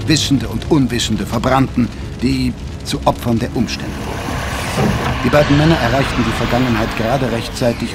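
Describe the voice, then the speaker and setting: A male narrator tells a dramatic story in scripted prose. His voice sits at a middle pitch, with music clearly playing behind it.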